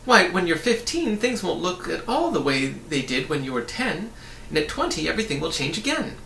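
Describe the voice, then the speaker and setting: A man's voice: on the high side, sing-song, normal speed, creaky, pushed and bright, reverberant, recorded from a distance, a little hiss or hum, voice pushed up, full character voice. A man voicing a breezy, opinionated young boy in a storybook, explaining things in a chatty, matter-of-fact way. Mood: self-assured. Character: breezy, opinionated young boy who floats in mid-air.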